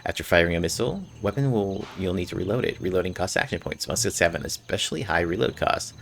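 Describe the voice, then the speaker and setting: Low, creaky-voiced man doing gameplay commentary. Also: animated, fast, a bit bright, a little hiss or hum. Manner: informal. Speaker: gameplay video host. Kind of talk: casual gameplay commentary, reading game text aloud. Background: faint game music.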